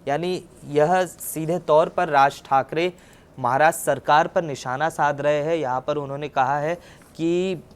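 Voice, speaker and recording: A man's voice: fairly high pitch, smooth. A man presenting a news report, explaining as he goes and often restating his points.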